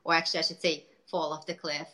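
A woman guest talks about her business in a loose, chatty way, full of "uh" and restarts. Her voice sits a little low and sounds bright and forceful. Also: little pitch movement, quick pace. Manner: chatty, many fillers and restarts. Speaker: guest entrepreneur. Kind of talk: casual interview chat.

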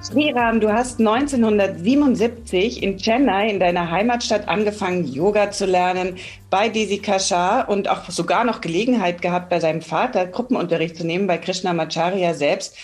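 A woman's voice: medium pitch, fairly quick, faint music behind. A woman hosting a German podcast, introducing her guest and asking questions in an engaged, conversational way. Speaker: podcast host.

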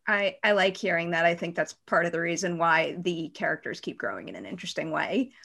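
A woman's voice: lowish voice, brisk, creaky, a bit bright. A woman interviewer asking questions in a chatty, casual way. She speaks as an enthusiastic fan and pokes fun at her own questions. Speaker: entertainment interviewer.

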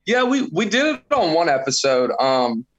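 A man's voice: on the high side, very animated, normal speed, highs cut off. Profane joking man in his thirties. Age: 30s. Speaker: podcast guest. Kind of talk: casual podcast chat.

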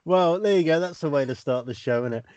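A man hosting a casual comedy podcast, talking hesitantly with lots of pauses. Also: on the high side, lively intonation, a crowd in the background. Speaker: podcast host. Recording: dull lo-fi dry sound.

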